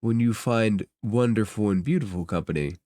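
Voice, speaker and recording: A man voicing a courteous, enigmatic stranger in a tabletop space fantasy game, formal, unhurried and ingratiating. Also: lowish voice, warm tone, no echo, studio quality, close to an everyday voice. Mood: courteous, measured.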